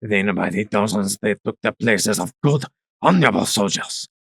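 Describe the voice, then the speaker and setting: A man voicing a weary, grim male zebra soldier who speaks slowly and gravely in a husky voice. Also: fairly low pitch, lively intonation, fairly bright tone, no room sound, studio quality, heavily acted. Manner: slow, grave, measured.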